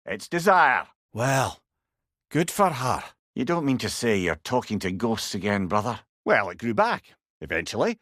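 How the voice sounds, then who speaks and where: A man's voice: mid-range voice, very animated, normal speed, a bit bright, no echo, clean studio sound. An older man with a Scottish accent playing a wry, eloquent old companion in a video game. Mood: wry, conversational. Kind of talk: video game character dialogue.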